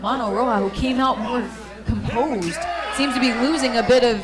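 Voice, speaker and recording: Playful high-voiced female commentator. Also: normal speed, heavy background noise. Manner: playful, laughing, exclaiming. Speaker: wrestling color commentator. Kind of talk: color commentary. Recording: loud crowd behind.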